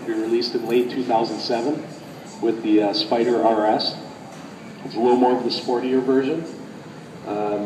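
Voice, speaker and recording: A man with a slightly raspy voice from a cold explains a product in a halting way, full of pauses and restarts. He speaks at an ordinary pitch for a man and sits far from the mic. A long, echoey hall and loud crowd noise surround him. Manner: halting, many fillers and restarts. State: getting over a cold, a little raspy. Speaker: company product spokesman. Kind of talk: informal product presentation. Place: large indoor convention center show floor.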